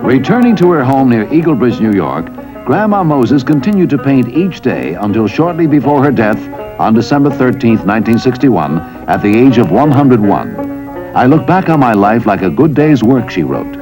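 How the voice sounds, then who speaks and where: A man's voice: medium pitch, sing-song, normal speed, poor audio. An American man reading scripted historical documentary narration. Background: music.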